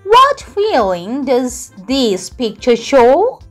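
A woman voicing a gentle female gazelle teacher who speaks slowly and carefully. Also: high voice, wildly swooping pitch, faint music behind, voice pushed up, heavily acted. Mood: kindly teacherly.

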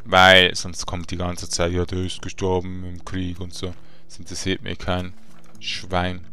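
Man, let's play commentary, casual, slangy, deep voice, clear. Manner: relaxed, chatty, slangy asides.

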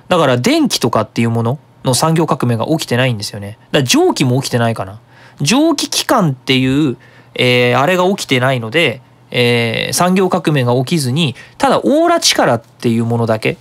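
A web radio host giving a casual, explanatory monologue in an animated manner. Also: mid-range voice.

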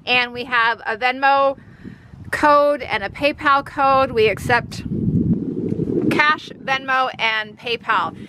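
A woman's voice: high-pitched, slow pace, noisy background. A woman in her thirties chatting upbeat in a casual vlog, filmed outdoors at a rural farm stand on a phone held at arm's length. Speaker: homesteading vlogger.

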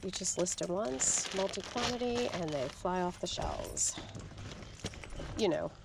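Woman vlogger with a creaky voice. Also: on the low side, lively intonation, unhurried. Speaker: vlog host, reseller at yard sales. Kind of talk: casual first-person vlog chat to viewers. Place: yard sales outdoors, driveways and car trunk. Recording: noisy outdoor handheld action-camera audio.

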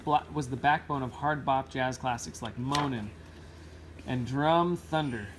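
A man's voice: medium pitch, unhurried, background noise. A man reading a children's picture book aloud informally, now and then stumbling and correcting himself. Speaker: read-aloud host.

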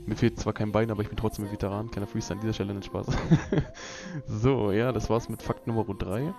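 A man's voice: on the low side, fast talker, creaky, music in the background. A young man from Berlin, rambling in a laid-back, casual way with many fillers and laughs, talking over gameplay footage. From Berlin, Germany. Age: young adult. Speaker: gaming YouTuber. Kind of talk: casual gameplay commentary vlog.

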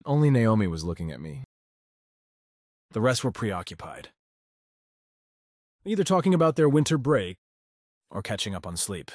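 Visual novel lead: man as anxious, sardonic high schooler, deadpan grumbler, lowish voice. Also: normal speed, pauses often, dry recording, studio quality. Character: cynical, anxious, foul-mouthed male high school student. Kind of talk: first-person visual novel narration and dialogue.

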